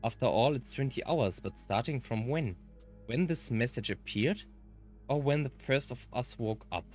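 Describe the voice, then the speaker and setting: A man reads an earnest, easily flustered young hero of a visual novel. His delivery is animated, with faint music behind it. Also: medium pitch, normal speed, natural voice. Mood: thoughtful.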